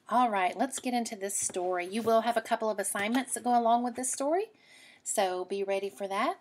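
A woman, a teacher giving an expressive, explanatory read-aloud lesson for children. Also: mid-range voice.

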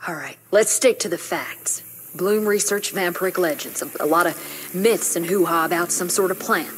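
A stern woman doctor played by a woman with a low voice. She speaks formally and curtly, doubting everything, as in a video game drama, over clearly audible background noise. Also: moderate pace, natural voice. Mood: skeptical. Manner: curt, skeptical, formal. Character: stern, skeptical woman doctor, sharp and exacting. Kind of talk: video game cutscene acting.

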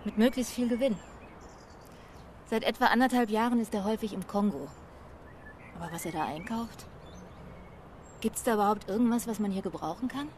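A woman's voice: medium pitch, fairly quick, long pauses, darkish tone, a little hiss or hum, natural voice. A woman playing a middle-aged, poised and evasive wife under questioning in a naturalistic radio crime drama, composed and slightly haughty. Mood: cool, candid. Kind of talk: naturalistic German radio play acting. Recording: faint outdoor ambience with birdsong. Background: birdsong on a terrace.

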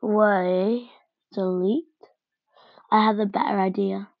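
Woman chatting over a game, slow and unsure, lots of ums, dry dull sound. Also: medium pitch, steady tone, creaky, no echo. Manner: thinks aloud, hesitant, many fillers. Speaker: gaming vlogger. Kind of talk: casual game commentary. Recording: dull lo-fi home mic.

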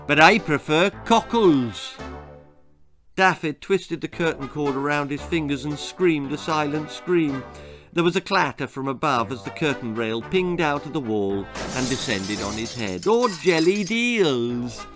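A man in his sixties reading a children's story aloud as its author, in expressive narration. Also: medium pitch, normal speed, music in the background. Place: book-lined room indoors.

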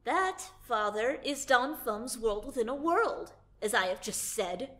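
A woman's voice: a little high, lively intonation, measured pace, a bit bright. A woman voicing an eager, earnest young boy explorer who speaks in formal archaic phrasing. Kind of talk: amateur radio drama acting.

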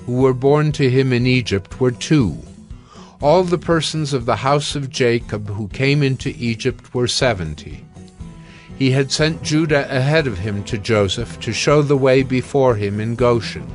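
A man reading scripture as narrator of a dramatized audio Bible, measured and formal. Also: medium pitch.

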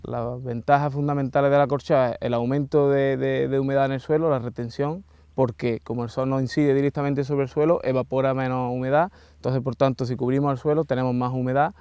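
Young male farm technician, Spain accent, smooth mellow voice, explanatory interview. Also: mid-range voice, level tone, fairly quick, no echo. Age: young adult. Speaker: agricultural technician. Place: outdoors beside olive rows.

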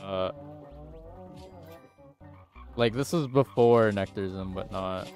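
A man's voice: deep voice, slow, over music. A man in his twenties chatting casually on a game livestream through a headset mic, rambling and laughing at himself. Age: 20s. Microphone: headset mic at desk.

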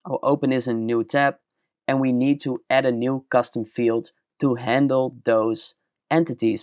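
A young man with a Dutch accent walking through a screen-recorded software tutorial step by step, in a plain explaining manner. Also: mid-range voice, dry recording, studio quality. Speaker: tutorial presenter.

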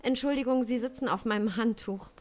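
A woman's voice: a little high, a little fast, darkish tone, close to an everyday voice. A woman playing a polite, hesitant police detective in an improvised audio drama. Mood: polite, firm. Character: polite, probing female police detective at a pool.

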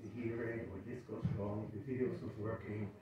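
A man's voice: on the low side, level tone, brisk, lo-fi sound, a loud crowd. An older man, a drama director, chatting to the audience before a temple play, joking about his own efforts. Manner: chatty, self-mocking, organizing. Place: wood-panelled temple hall, indoors. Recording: echoey hall, far from the camera.

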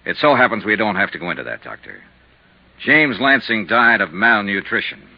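Casting: tough American insurance sleuth, 1950s radio serial, very animated pitch, dry pressing delivery, dull vintage recording. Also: male voice, normal speed, vocal fry, exaggerated voice. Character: tough, wry freelance male insurance investigator. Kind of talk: 1950s radio detective acting.

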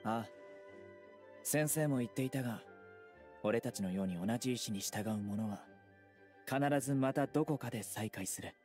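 A man voicing a stern, serious young desert-born enforcer in an anime game, speaking formally and bluntly in a deadpan. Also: mid-range voice, moderate pace, pauses often, natural voice. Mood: serious, composed. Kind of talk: Japanese anime game voice acting. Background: music.

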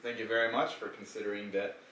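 A man's voice: lowish voice, level tone, quick pace, pushed and bright. A young man from Israel speaking English with an Israeli accent, Hebrew being his first language, making dry, joking remarks in casual chat on a couch in his living room. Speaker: vlog co-host, husband. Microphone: camera across the room. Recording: echoey room with faint background hum.

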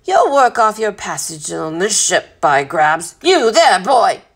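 Woman putting on a loud, blustering old sea captain. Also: mid-range voice, sing-song, slow, breathy edge, exaggerated voice. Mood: roaring, impatient. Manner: bellowing, salty old-time sailor talk. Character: blustering, gruff old sea captain, bellowing bull of a man.

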